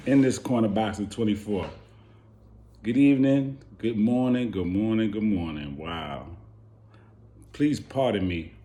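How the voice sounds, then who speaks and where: Hoarse man ranting about boxing. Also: lowish voice, a little slow, pauses often, warm tone. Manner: heated, repeating rhetorical questions. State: hoarse from yelling. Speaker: boxing channel host. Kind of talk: animated boxing commentary rant.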